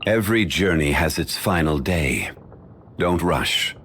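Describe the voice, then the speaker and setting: An actor voicing a dignified, ancient, learned man in a video game, speaking in a measured, formal and courteous way. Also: very deep voice, lively intonation, a bit bright, slight background noise, exaggerated voice. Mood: calm, formal.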